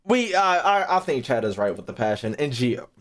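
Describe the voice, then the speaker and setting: A man with a medium-pitched voice talks casually to his livestream chat. He thinks out loud and rambles from point to point. His language is loose and full of swearing. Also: sing-song, normal speed. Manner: rambling, foul-mouthed. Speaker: streamer.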